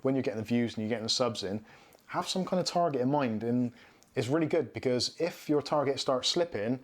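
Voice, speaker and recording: A man's voice: medium pitch, animated, a little fast. A man in his thirties from southwest England with a Bristolian accent, hosting a casual live Q&A and answering viewer comments. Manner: chatty, reads out viewer comments and answers them. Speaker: live stream host. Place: home studio room with shelves and neon signs.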